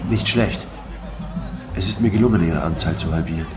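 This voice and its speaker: A man's voice: low-pitched, normal speed, crowd noise, lightly acted. An actor playing an earnest, fed-up playwright who defends his work in a theatrical German radio drama. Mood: weary, defensive. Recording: music under the voice.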